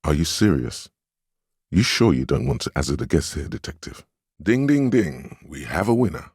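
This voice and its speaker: A grave inner voice of willpower in a detective game, super deep, dry sound, weary and admonishing, bit sardonic. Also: male voice, very animated, normal speed, a little mellow, dry recording, full character voice. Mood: dryly incredulous. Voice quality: very deep, smooth, resonant. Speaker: voice actor in a video game. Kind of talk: scripted game dialogue and narration.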